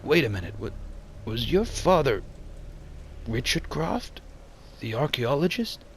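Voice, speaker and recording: A man's voice: medium pitch, big pitch swings, a little slow, a little hiss or hum. A man voicing an anxious, stubborn archaeologist with a hesitant manner in an amateur motion comic. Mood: earnest, determined. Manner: hesitant, stammering. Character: anxious, stubborn male archaeologist, desperate for funding.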